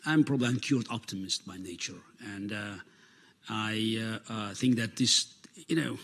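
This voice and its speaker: A man in his fifties with a Russian accent, a native Russian speaker answering interview questions earnestly, halting often with fillers and restarts. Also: lowish voice, fairly bright tone.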